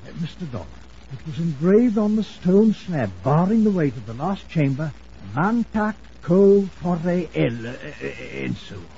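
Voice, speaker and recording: An actor playing a fussy, excitable old gentleman scholar in a radio drama, stammering pompously and repeating himself. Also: a little high, measured pace, gravelly, full character voice. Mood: grave warning. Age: older. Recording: muffled, hissy old radio recording.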